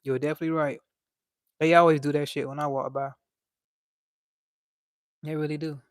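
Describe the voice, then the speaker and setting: A man's voice: fairly high pitch, flat delivery, a little fast, clear, resonant tone, dry recording, clean studio sound. A young man with a light voice, chatting casually on a livestream and reading out chat in a laid-back, slangy way.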